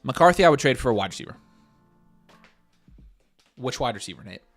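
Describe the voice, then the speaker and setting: A man's voice: mid-range voice, expressive, brisk, faint music behind. A man co-hosting a casual livestream, chatty and reassuring, giving advice with plenty of fillers. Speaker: co-host.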